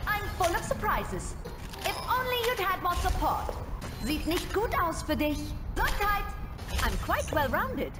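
A woman's voice: very high-pitched, sing-song, unhurried, exaggerated voice. An actress playing a poised, confident female combat medic in a video game, with a German accent. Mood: self-assured. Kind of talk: video game hero voice lines. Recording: loud music behind.

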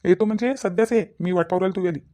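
A man voicing a pushy, good-hearted young best friend in a cartoon, loud, insistent and teasing, with a Varhadi Marathi accent. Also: sits high, racing, natural voice. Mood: impatient. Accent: Varhadi Marathi from Vidarbha. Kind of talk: cartoon phone-call dialogue.

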